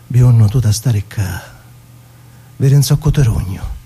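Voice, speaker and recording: An actor with a Palermo Sicilian accent playing a weary, gruffly protective young man in a radio drama, speaking in terse, subdued dialect lines. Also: medium pitch, a little slow, dark tone. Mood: resigned. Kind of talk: theatrical radio drama dialogue.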